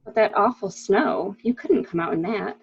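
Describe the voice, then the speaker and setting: A woman's voice: mid-range voice, moderate pace, creaky, slightly rough. A woman playing a genteel, composed widow in a murder mystery reading, on a muffled recording. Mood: gently protesting. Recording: dull, muffled lo-fi sound.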